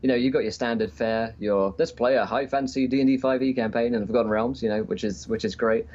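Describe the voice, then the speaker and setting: A man chatting casually as a podcast guest and game streamer, with hesitant, halting phrasing, calling in remotely. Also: mid-range voice, fairly even intonation, darkish tone. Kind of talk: casual interview chat. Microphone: remote call-in. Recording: dull, lo-fi call audio.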